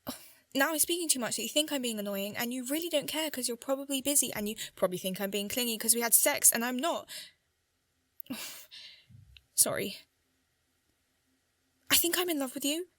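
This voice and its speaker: A woman's voice: high-pitched, expressive, fairly quick, pauses often, fairly bright tone, no echo. An actress playing an anxious, lovestruck young woman in a radio play, rambling and correcting herself. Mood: sheepish, confessing. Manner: flustered, self-correcting, rambling. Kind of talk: naturalistic radio play monologue.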